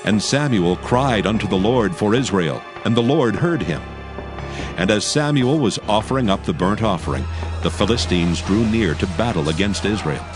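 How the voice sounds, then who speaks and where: Low-pitched solemn male Bible narrator. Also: lively intonation. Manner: solemn, measured, formal. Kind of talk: scripted dramatized Bible narration. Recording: faint music behind the voice. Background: faint background music.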